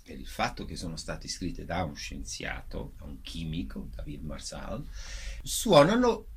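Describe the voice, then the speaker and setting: A man speaking as a theatre director in a radio interview, explaining in a halting way as he searches for words. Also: deep voice, huge pitch swings, husky.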